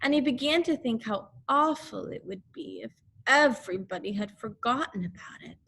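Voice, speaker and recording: High-pitched, lively woman reading a storybook. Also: moderate pace, creaky, husky. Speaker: second-grade teacher reading aloud. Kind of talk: expressive children's storybook narration.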